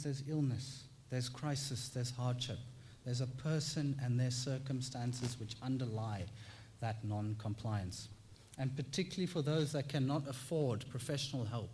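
A man from South Africa with a South African accent, giving a measured, formal conference presentation and using rhetorical repetition. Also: mid-range voice, a little mellow, slight background noise. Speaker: tax clinic presenter.

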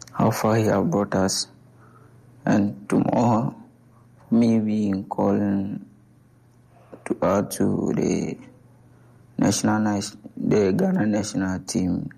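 A woman footballer giving earnest, thankful interview answers in a gravelly voice. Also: rumbling low, little pitch movement, slow, long pauses, dark tone, low-quality recording. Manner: earnest, thankful, repetitive phrasing. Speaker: national team footballer.

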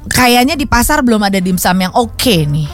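Chatty high-voiced woman host. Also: very animated, normal speed, soft background music. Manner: chatty, playful, frequent backchannels. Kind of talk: casual talk show hosting.

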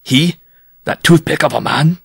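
A man's voice: mid-range voice, moderate pace, creaky, fairly bright tone, breathy edge, no room sound, studio quality, voice pushed up. Storybook circus rider, acted by a male reader: vain, flirtatious middle-aged man, suave, self-satisfied, theatrical delivery. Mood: incredulous.

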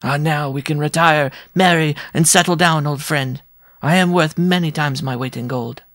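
A tiny, proud French circus dwarf, acted out by a man in a storybook reading. The voice is raised and shrill, with florid, theatrical phrasing and a touchy, lovesick pride. It sounds dry and close. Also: fairly quick, fairly bright tone, no echo. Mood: elated. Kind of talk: storybook character voice.

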